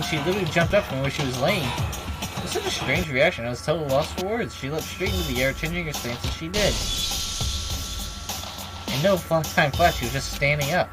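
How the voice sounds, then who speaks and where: A man's voice: on the high side, poor audio. A man reading a story game aloud on a live playthrough, dropping in casual, irreverent, sweary commentary. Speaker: streamer reading a visual novel aloud. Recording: loud game music behind. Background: video game music.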